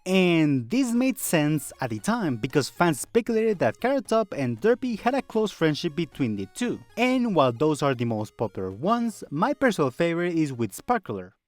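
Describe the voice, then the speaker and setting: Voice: mid-range voice, sing-song, moderate pace, hardly any pauses, dry recording, soft background music. A video essayist narrating a scripted fandom video in a conversational way, with rhetorical questions and wry asides.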